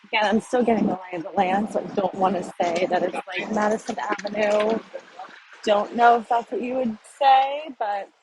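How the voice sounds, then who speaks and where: A woman's voice: mid-range voice, fairly even intonation, moderate pace. A middle-aged woman from the United States, rambling and thinking aloud as she films a casual walking vlog outdoors on city streets. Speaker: vlogger. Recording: faint street noise behind.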